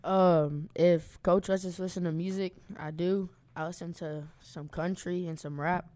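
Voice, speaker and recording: A man's voice: high-pitched, fairly even intonation, measured pace, mellow. A teenage boy football player answering pregame questions hesitantly, often starting with "um". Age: teens. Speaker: high school football player, guest. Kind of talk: casual pregame interview.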